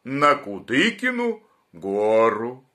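A man doing a calm, knowing woodpecker. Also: medium pitch, slow pace, full character voice.

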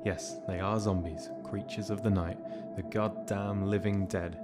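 Weary young soldier played by a male actor, low, dry and sarcastic, audio drama with music underneath. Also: steady tone, unhurried. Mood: sardonic, insistent.

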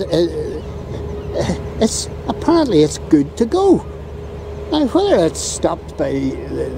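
A Northern Irish man filming a harbour outdoors, ranting sarcastically in an exasperated, drawn-out way. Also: fairly high pitch. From Northern Ireland. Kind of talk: ranting handheld commentary. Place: outdoors on a windy harbour quay. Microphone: camera mic while filming. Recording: outdoor camera audio with steady wind and traffic noise.

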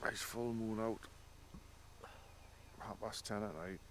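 A low-voiced man talks casually and jokes dryly while camping with friends. He is outdoors, with steady nature noise clear behind him. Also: takes it slowly. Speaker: motorcycle camping vlogger. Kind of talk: casual vlog chat. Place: outdoor campsite.

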